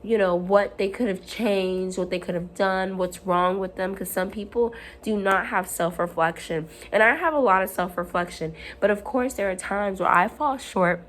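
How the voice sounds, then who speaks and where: A woman at home, hoarse while her voice comes back, giving an earnest, rambling motivational talk to camera with frequent ums and restarts. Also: mid-range voice, moderate pace, hardly any pauses, a bit bright, a little hiss or hum. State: hoarse, recovering from lost voice. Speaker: self-help vlogger.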